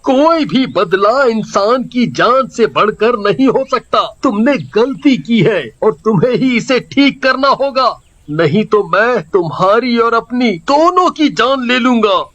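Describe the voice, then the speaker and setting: A man's voice: very high-pitched, lively intonation, slow, fairly bright tone, heavily acted. A man playing an agitated, righteous village man with emphatic delivery in a melodramatic story, recorded with dull lo-fi sound. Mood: furious. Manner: emphatic, dramatic. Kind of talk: melodramatic animated story dialogue.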